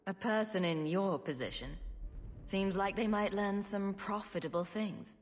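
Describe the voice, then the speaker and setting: A woman's voice: medium pitch, unhurried, big-room sound, slight background noise, lightly acted. An actress voicing a wry, self-assured young woman adventurer in a video game, with a teasing manner. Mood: frank, friendly. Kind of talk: video game character dialogue.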